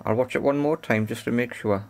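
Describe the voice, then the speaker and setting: A man's voice: medium pitch, a little fast. A man giving hands-on repair commentary, thinking aloud in a tentative way as he works. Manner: tentative, talks to himself while working. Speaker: repair video presenter.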